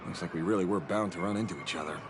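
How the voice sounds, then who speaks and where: A man's voice: sits low, very fast, slight background noise, natural voice. An actor playing a cynical, guarded male detective in a video game, sardonic and drawling. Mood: wry, guarded.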